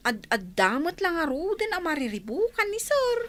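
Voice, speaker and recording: A woman's voice: extremely high pitch, sing-song, normal speed, fairly bright tone, a little hiss or hum, exaggerated voice. A woman playing an exasperated, protective scolder in an Ilocano radio drama.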